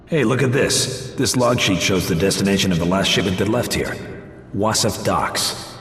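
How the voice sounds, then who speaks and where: An actor playing a cocky, wisecracking macho action hero in a video game, with a wry, exasperated manner. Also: male voice, fairly low pitch, normal speed, fairly bright tone, echoey room, in a large hall, slight background noise. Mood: wry, determined. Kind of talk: video game cutscene acting.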